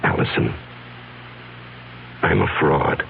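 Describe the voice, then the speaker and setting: An actor playing a troubled young man with a secret past, earnest and halting, in a 1970s radio drama. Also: rumbling low, lively intonation, creaky, a little hiss or hum, heavily acted. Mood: anguished confession. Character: anguished young man hiding a criminal past. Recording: muffled, humming old radio recording.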